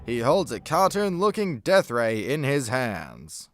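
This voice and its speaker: A man's voice: mid-range voice, wildly swooping pitch, slow pace. A man narrating a scripted superhero comedy radio play with a dramatic announcer's delivery.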